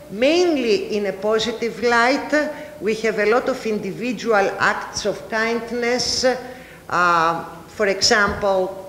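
A middle-aged woman with a Greek accent, a native Greek speaker, giving an academic panel presentation. She speaks haltingly, with frequent filler pauses. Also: mid-range voice, a little hiss or hum. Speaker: university lecturer presenting research. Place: large ballroom, panel table. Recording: echoey hall with long reverb.

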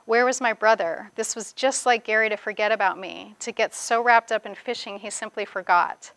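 Bright-voiced woman author reading aloud. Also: mid-range voice, fairly even intonation, moderate pace, no room sound. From grew up in the Bahamas. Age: 40s. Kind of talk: reading aloud from own memoir, with spoken commentary. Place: indoors, seated before a plain wall at a reading event.

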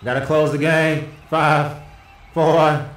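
A man plays a complaining basketball player in a comic dub. He drags out his exclamations extremely slowly. The voice is forceful and echoes as if in a roomy space. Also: fairly high pitch, level tone. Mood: exasperated. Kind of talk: comic dubbing of basketball footage.

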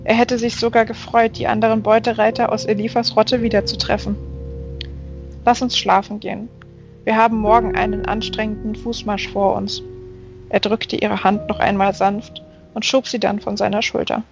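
Woman, audiobook reading, flat intonation, gravelly creak, slightly muffled recording. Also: mid-range voice, normal speed. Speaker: audiobook reader. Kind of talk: scripted narration of a fantasy novel. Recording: band-limited, dull sound. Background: faint music.

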